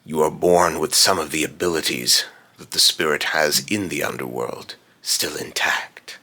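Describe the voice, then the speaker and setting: Man voicing a very deep, raspy, brooding spirit. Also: sharp tone, full character voice. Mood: solemn, ominous. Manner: slow, deliberate, solemn. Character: Phobos, brooding otherworldly male spirit of fear and death. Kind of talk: voice line recording with retakes.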